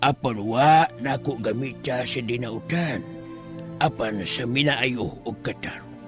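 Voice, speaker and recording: Man playing a slow, solemn righteous elder. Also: medium pitch, over music, natural voice. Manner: grave, deliberate, preaching. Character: solemn, righteous male elder healer quoting scripture. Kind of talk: horror radio drama acting.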